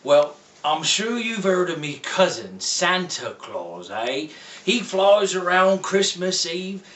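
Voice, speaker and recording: A man's voice: sits high, a bit bright, full character voice. A man voicing a jolly old pirate, Santa's seafaring cousin, reading a storybook aloud in folksy pirate slang, tagging his lines with "eh?". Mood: jovial. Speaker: storybook reader. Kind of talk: in-character read-aloud. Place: room, reading to a computer. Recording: roomy, mic at a distance.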